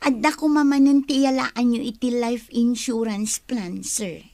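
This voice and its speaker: A woman's voice: fairly high pitch, fairly even intonation, unhurried, darkish tone, no room sound. A woman playing an attentive, deferential employee in a Philippine radio drama. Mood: sly, coaxing.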